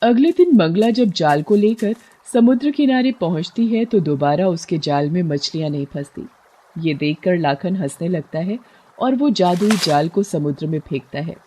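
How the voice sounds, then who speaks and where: A woman narrating a cartoon story in a plain, steady storytelling manner. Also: lowish voice, moderate pace, low-quality recording.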